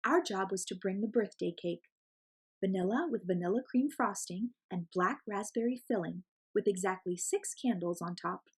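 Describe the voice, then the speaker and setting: A woman reading a picture book aloud as an expressive storyteller for children. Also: mid-range voice, normal speed, husky.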